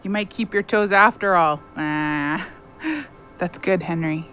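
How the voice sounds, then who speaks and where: A woman's voice: lowish voice, measured pace, fairly bright tone, soft background music. An actress in an audio drama playing a remorseful, caring young woman who talks earnestly and plainly, with a wry streak. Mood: wry, relieved.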